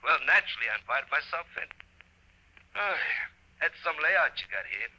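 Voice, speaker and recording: A man's voice: fairly high pitch, big pitch swings, moderate pace, sharp tone, full character voice, poor audio. An actor playing a cocky, chummy hobo ghost in a 1950s radio horror-comedy, talking in boastful, needling tough-guy slang. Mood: grumbling, reminiscing.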